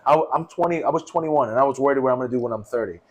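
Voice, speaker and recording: A man's voice: medium pitch, racing. A man in his twenties from New York co-hosting a casual podcast, blunt and profane. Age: 20s. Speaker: podcast co-host.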